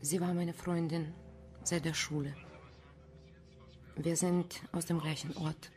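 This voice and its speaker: A woman's voice: deep voice, flat delivery, slow, a little mellow, soft background music. An actress playing a guarded, defiant Romanian immigrant woman in a crime radio drama, with clipped, formal phrasing. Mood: sombre, earnest. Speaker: actor in a crime radio play. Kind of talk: German radio drama acting.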